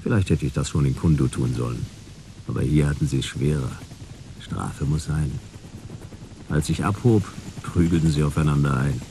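Very low, gravelly, jaded detective. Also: male voice, normal speed, noisy background. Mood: dry, sardonic. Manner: laconic, sardonic, clipped sentences. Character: jaded, wry male private detective narrating his case. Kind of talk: noir radio play narration and dialogue.

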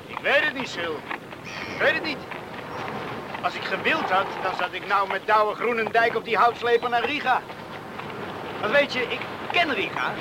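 A man's voice: sits high, animated, moderate pace, long pauses. An actor playing a young male islander and former sailor, wistful and easygoing, in a Dutch radio play. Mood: reflective. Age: young adult. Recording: noisy old radio play recording.

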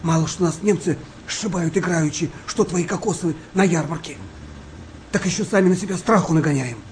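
Man playing gruff skeptical sailor in a war radio drama, slightly high pitch, faint noise behind. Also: fairly quick, close to an everyday voice. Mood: irritated. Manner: blunt, dismissive, steadying. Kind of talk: Russian radio play acting.

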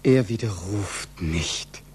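A man's voice: sits low, lively intonation, takes it slowly, darkish tone. An actor playing an anxious, sober man waiting for fateful news in a 1940s German radio drama. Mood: tense hope. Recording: faint hum and hiss, old radio.

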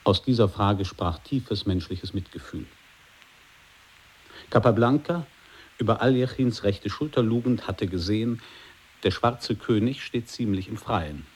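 A man narrating an experimental radio play in a calm, measured literary style. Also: lowish voice, breathy edge.

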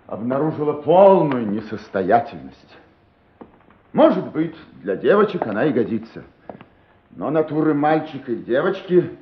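A man's voice: medium pitch, echoey room, lo-fi sound, a little hiss or hum. An actor playing a stern, wrathful father who speaks formally, with scorn and menace, in a radio drama.

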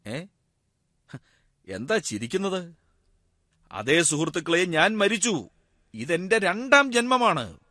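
A wry resurrected everyman, teasing, lively swinging voice, radio play, music behind. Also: high voice, heavily acted. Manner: chatty, teasing, addresses unseen listeners. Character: wry secular man back from death. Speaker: monologue performer. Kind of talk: radio drama monologue.

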